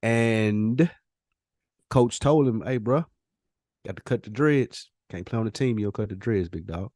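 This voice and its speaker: A man's voice: fairly low pitch, unhurried, a little mellow, no room sound, studio quality. A man hosting a casual podcast conversation, speaking in slang and repeating phrases for emphasis. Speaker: podcast host.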